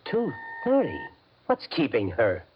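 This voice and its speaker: An actor playing a desperate, excitable gambling everyman in a 1950s radio suspense drama, with intense, emphatic delivery. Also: medium pitch, a little slow, a little hiss or hum. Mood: bewildered muttering. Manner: intense, muttering to himself, emphatic. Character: desperate gambling-addicted everyman bank worker, excitable and brooding. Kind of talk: 1950s radio suspense acting, first-person narration and dialogue. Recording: dull 1950s radio recording, loud music.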